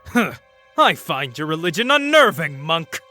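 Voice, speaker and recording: A man's voice: on the high side, sing-song, a little slow, bright, forceful tone, faint music behind, full character voice. A man voicing a hearty, boastful Viking dwarf smith, cheerful and battle-hardened, in a fantasy fan dub. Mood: hearty banter.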